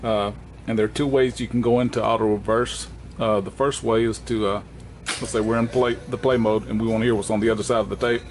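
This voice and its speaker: A man presenting an unscripted hands-on equipment walkthrough in a plainspoken, halting way, correcting himself as he goes. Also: on the low side, fairly even intonation, slight background noise.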